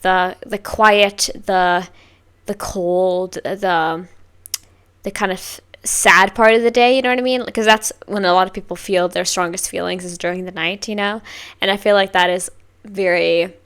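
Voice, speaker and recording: A woman hosting a music reaction video, talking casually and rambling with lots of filler words, with bursts of excited whoops. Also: mid-range voice, unhurried, clean tone. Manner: chatty, rambling, heavy on "like". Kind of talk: casual first-listen reaction.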